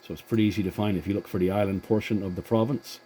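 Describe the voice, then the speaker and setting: Male CB hobbyist, deep-leaning mellow voice, polite and halting, casual two-way radio chat, slight background noise. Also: fairly even intonation. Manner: courteous, halting with many hesitations. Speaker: CB radio operator and channel host.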